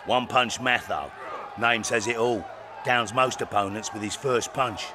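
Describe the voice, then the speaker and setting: An actor playing a boastful, scheming fight promoter in a video game, with a grandiose way of talking. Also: male voice, mid-range voice, unhurried, a crowd in the background, noisy background, close to an everyday voice. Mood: excited, showy. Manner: grandiose, speaks of himself in third person.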